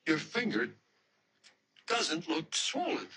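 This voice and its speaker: A man's voice: on the high side, big pitch swings, takes it slowly, sharp tone, breathy edge, heavily acted. A man playing an exasperated, insistent young American fiancé in a radio mystery drama, urgent and commanding, at times pleading. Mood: tense, wary. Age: young adult.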